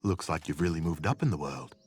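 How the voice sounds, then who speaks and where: A man's voice: extremely low pitch, animated, fast talker, slight background noise. An actor playing a weary, complaining working townsman in a fantasy video game. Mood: weary, pleading. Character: weary, complaining small-town water hauler. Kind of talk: game dialogue acting.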